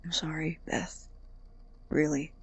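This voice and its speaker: A man acting in an audio drama as an awkward, earnest, apologetic college student who stammers and speaks softly. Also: a little high, little pitch movement, slow, full character voice. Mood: apologetic, flustered. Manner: hesitant, stammering, soft and breathy when sincere.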